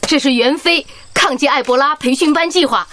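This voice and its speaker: Actress playing a high-voiced, cheerful head nurse. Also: female voice, background noise, close to an everyday voice. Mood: proud. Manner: exclamatory, brisk, affectionate. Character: cheerful, warm-hearted Chinese head nurse. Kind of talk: Chinese radio drama acting. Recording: loud music and crowd behind.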